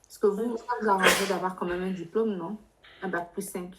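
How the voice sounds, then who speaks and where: A woman's voice: medium pitch, steady tone, brisk, heavy background noise. A woman giving spontaneous advice as a workshop adviser, directive and insistent, with probing questions. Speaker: adviser leading a workshop for international students. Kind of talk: live advisory Q&A, spontaneous spoken explanation.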